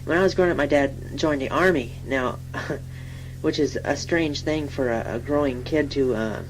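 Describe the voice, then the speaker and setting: A woman's voice: rumbling low, moderate pace, low-quality recording. A woman playing a chatty, self-deprecating young station typist, improvising a rambling, giggly self-introduction in colloquial speech. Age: young adult. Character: chatty, self-deprecating twenty-six-year-old female station typist. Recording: faint hum and noise.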